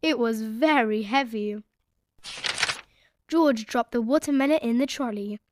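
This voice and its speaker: A woman narrating a children's read-aloud story in an expressive, measured storytelling manner. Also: fairly high pitch, no echo, clean studio sound.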